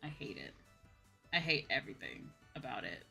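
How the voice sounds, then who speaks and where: A woman's voice: extremely low pitch, sing-song, moderate pace, gravelly, bright, forceful tone, faint music behind. A woman streamer chatting casually to her livestream, with an exasperated, venting manner and some casual swearing. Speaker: VTuber streamer, host.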